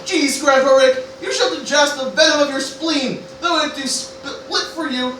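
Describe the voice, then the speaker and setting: A woman's voice: sits high, steady tone, moderate pace, a bit bright. A woman playing an anxious teenage grandson who declaims stammering Shakespearean lines in a comic stage parody. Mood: defiant, scornful. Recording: very echoey room, distant mic, noisy.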